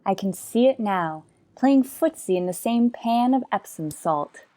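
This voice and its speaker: An actress plays a sardonic woman schoolteacher in a radio sitcom. Her pitch swings with lively expression as she lands dry, sarcastic wisecracks in an old-time comic style. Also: a little slow, close to an everyday voice. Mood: brisk, wry. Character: wry, sardonic woman high school English teacher.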